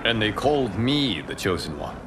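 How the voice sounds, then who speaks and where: An actor playing a stern, noble warrior god in a video game cutscene, with solemn, formal, measured delivery. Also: male voice, on the low side, very animated, background noise. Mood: resolute. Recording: loud music under the voice.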